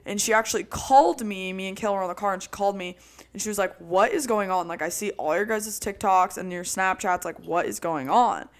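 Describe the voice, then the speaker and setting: A young woman co-hosting a podcast, telling a roommate story in casual chat with a loud, projecting voice and lots of "like". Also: mid-range voice, fairly quick. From Italian roots. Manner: animated storytelling with frequent "like". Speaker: podcast co-host.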